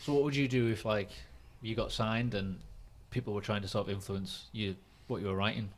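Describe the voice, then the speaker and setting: A man's voice: lowish voice, steady tone, fairly quick, a little hiss or hum. A man hosting a podcast, chatting casually about things in an informal, conversational way. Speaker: podcast host. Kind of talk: casual chat.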